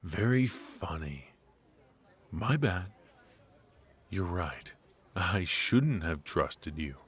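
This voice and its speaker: A man playing a suave, teasing, flirtatious mafia boss in an intimate ASMR roleplay, murmuring asides with hums and pauses. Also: low voice, expressive, breathy edge, exaggerated voice. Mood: mock disgust. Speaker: voice actor in an audio roleplay. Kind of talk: ASMR roleplay acting, intimate one-sided conversation.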